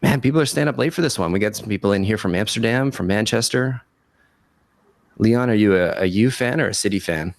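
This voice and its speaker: A man hosting a webinar podcast in a casual, chummy way, his voice raspy from a cold. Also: fairly low pitch, fairly quick, no room sound. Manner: informal, chummy address. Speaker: podcast host.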